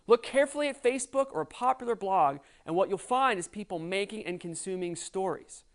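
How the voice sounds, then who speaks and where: Brief: narrator for a short essay segment, steady, measured, mid pitch. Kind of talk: scripted narration.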